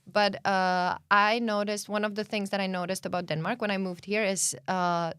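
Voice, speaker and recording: A woman's voice: mid-range voice, fairly even intonation, normal speed, fairly bright tone, clear, resonant tone, dry recording, clean studio sound. A young woman from the Czech Republic co-hosting a casual podcast chat, candid and blunt, swearing now and then. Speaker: podcast co-host.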